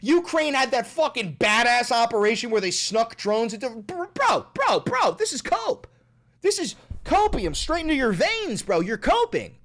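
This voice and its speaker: A political commentary host ranting casually, excitable and sarcastic, swearing freely and repeating the punchlines. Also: medium pitch, a little slow. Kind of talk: profane casual rant.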